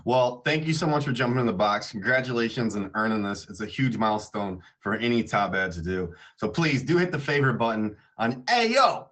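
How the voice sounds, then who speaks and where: A man's voice: mid-range voice, animated, fairly quick, few pauses, a bit bright. A man hosting a weekly app update show, upbeat and chatty, laughing often. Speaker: show host. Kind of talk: livestream announcement show hosting.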